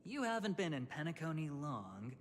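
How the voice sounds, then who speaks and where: A man's voice: a little high, big pitch swings, moderate pace, big-room sound, a little hiss or hum. A man voicing a sly, theatrical gambler in a video game, smugly menacing with a teasing drawl. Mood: teasing, warning. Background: game music.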